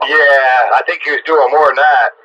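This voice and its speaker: A man's voice: medium pitch, fairly even intonation, normal speed, lo-fi sound. A man chatting casually on the air as a radio operator, heard over a two-way radio. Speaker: amateur and CB radio operator, uploader of the show. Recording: noisy band-limited CB radio transmission.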